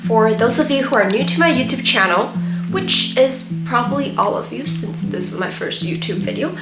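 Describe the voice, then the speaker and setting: A woman's voice: on the high side, lively intonation, moderate pace. A young woman from China, a native Mandarin speaker, giving upbeat, chatty tips in a casual vlog. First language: Mandarin Chinese. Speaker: YouTuber. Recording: echoey room with loud guitar music.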